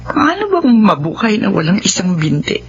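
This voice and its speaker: A woman's voice: medium pitch, wildly swooping pitch, moderate pace, husky, full character voice. An actress with a Filipino accent playing an anxious, suspicious wife and mother in a radio drama. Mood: distracted, troubled. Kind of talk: radio drama acting with murmured inner monologue. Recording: dull, noisy radio drama audio.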